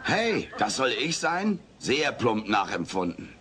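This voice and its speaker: A man's voice: mid-range voice, big pitch swings, slow pace. A male actor playing a greedy, sarcastic furry alien in a comedy radio play. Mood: smug. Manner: sarcastic, glib. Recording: noisy old cassette transfer.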